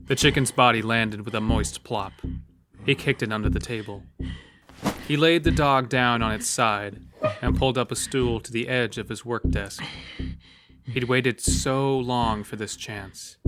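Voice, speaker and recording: A man's voice: mid-range voice, fairly even intonation, measured pace. A man narrating a scripted horror story as the storyteller of an audio drama. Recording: music bed under the voice. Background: loud music.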